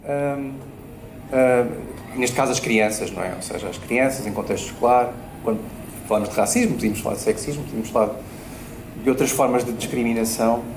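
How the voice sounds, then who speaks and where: A man with a European Portuguese accent speaks as a debate panelist, pausing often with fillers and tag questions. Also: mid-range voice. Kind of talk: public panel discussion. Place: event space at a bookshop. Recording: roomy hall with faint noise.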